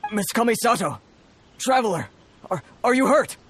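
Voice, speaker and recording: Anxious older merchant man, animated. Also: high-pitched, normal speed, slight background noise. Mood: relieved, grateful. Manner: flustered, polite, hesitant. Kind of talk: video game voice acting.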